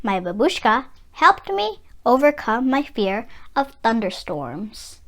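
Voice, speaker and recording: A woman reading a children's story aloud with dramatic, expressive delivery and drawn-out words. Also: a little high. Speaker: children's book reader.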